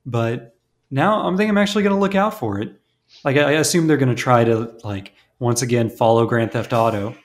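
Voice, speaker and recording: A man co-hosting a podcast in casual chat, telling stories in a relaxed way with many fillers. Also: mid-range voice, a little fast. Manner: relaxed, filler-heavy storytelling. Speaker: podcast co-host.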